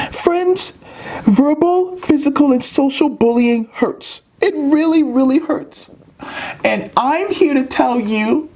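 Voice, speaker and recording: A woman's voice: sits high, darkish tone, heavily acted. A woman voicing an earnest, dramatic little pre-K girl puppet in a children's puppet show, with emphatic, repetitive delivery. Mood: pleading.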